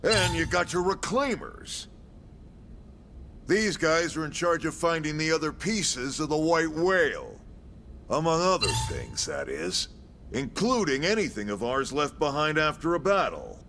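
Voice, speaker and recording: An actor playing a gruff, brash middle-aged military commander in a video game, with an American accent, a gravelly voice and a blunt, folksy, salty way of talking. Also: male voice, fairly high pitch, a bit bright, a little hiss or hum, exaggerated voice. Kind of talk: scripted video game cutscene acting.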